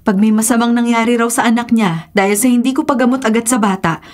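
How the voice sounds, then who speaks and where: An actress playing a weary, sympathetic doctor at a public hospital in a Philippine radio drama. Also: female voice, a little high, steady tone, quick pace, darkish tone, natural voice. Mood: troubled.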